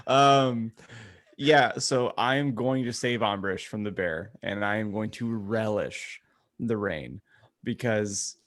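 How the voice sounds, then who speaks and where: A man's voice: fairly low pitch, slow, a bit bright. A man co-hosting a podcast, chatting casually with dry, irreverent, self-mocking humor. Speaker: podcast co-host. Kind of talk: casual joking chat.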